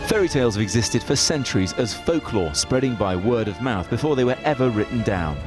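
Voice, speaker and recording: A man's voice: medium pitch, expressive, a little fast, music in the background. A British man presenting broadcast news and interviewing in a studio.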